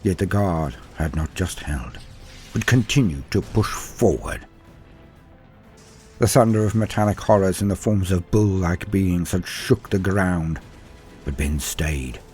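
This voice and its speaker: A man's voice: low voice, expressive, a little slow, darkish tone, soft background music. A man narrating scripted war fiction in a grave, theatrical manner, letting his phrases fall away at the end. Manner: grave, theatrical storytelling with falling phrase endings. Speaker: narrator and storyteller.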